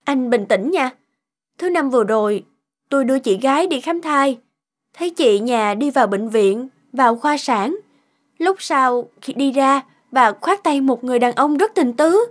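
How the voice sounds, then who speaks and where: A woman's voice: sits high, animated, normal speed. A woman voicing a polite, hesitant young office secretary in a cartoon. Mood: hesitant, concerned. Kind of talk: Vietnamese cartoon dubbing.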